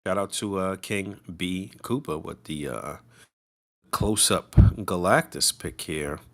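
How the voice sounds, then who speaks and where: A man's voice: deep voice, takes it slowly. A man co-hosting a podcast in casual, profane, laughing banter. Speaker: podcast co-host. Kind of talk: casual chat.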